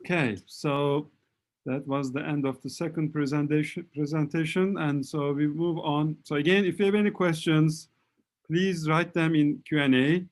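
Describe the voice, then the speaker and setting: A man moderating an online talk, speaking haltingly and correcting himself as he introduces the next presenters. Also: a little high, steady tone. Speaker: webinar moderator. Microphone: computer mic on a video call.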